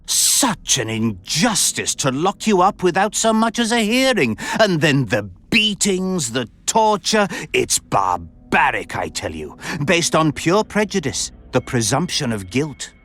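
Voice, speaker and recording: A man's voice: a little high, big pitch swings, measured pace, few pauses, fairly bright tone, exaggerated voice. Flamboyant, swooping nobleman. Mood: indignant. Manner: theatrical, florid, pompous phrasing. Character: flamboyant, verbose, refined nobleman count, lecherous and sardonic. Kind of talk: video game cutscene voice acting. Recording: music behind the voice.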